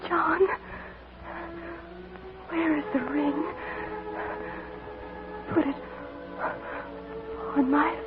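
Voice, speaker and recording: An actress in a 1940s radio drama playing a tender, dying young bride, her voice high and clear. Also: fairly even intonation, very slow, pauses often. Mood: pained, tender. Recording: tinny old radio broadcast with music.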